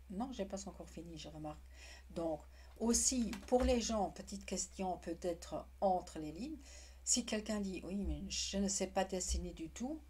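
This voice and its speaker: A woman teaching a craft in French, with a non-native accent, speaking in a halting way with frequent restarts. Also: lowish voice, mellow, a little hiss or hum. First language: not French. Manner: halting, restarts words often. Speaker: witchcraft teacher. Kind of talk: casual explanatory tutorial.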